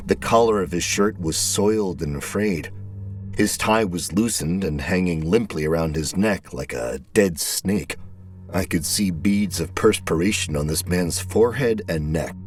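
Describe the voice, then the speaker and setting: Low-voiced brooding male storyteller. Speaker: narrator. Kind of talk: first-person scripted story narration. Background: background music.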